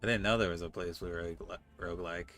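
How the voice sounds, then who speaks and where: A man's voice: low voice, fairly even intonation, fast talker, fairly bright tone, soft background music. A man chatting casually as he streams a video game and answers his chat. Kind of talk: casual game livestream chat, reading dialogue aloud.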